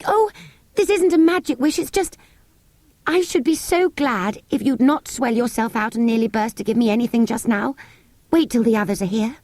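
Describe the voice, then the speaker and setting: A woman's voice: on the high side, normal speed, a little mellow, no echo, voice pushed up, lightly acted. A woman voicing an earnest, polite young girl in a storybook reading, pleading and apologetic. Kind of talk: audiobook character voice.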